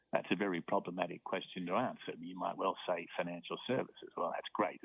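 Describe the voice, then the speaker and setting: Deep gravelly Australian man. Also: no room sound, rough recording. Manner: measured and explanatory, often repeats words when starting a point. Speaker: university professor guest. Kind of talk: radio interview, explaining politics.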